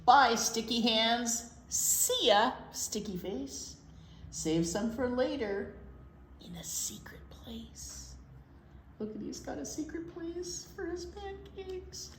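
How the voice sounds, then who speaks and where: Lady reading storytime to little kids, high expressive voice, drawn out words, roomy echo w faint noise. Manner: expressive, drawn-out delivery with playful asides. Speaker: children's storytime teacher. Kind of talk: animated read-aloud to small children.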